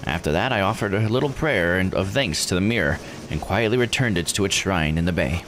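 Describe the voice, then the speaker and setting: A man voicing an earnest, grieving villager from a visual novel, in a measured delivery. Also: low-pitched, expressive, noisy background. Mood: solemn, grateful. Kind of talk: reading visual novel dialogue aloud. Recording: music under the voice.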